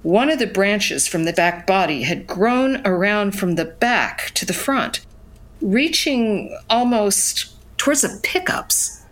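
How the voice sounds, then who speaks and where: A woman's voice: mid-range voice, big pitch swings, slow, low-quality recording. An actress playing a reflective woman who narrates memories of her youth in an audio drama, wistful and storytelling. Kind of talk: scripted first-person drama narration. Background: music.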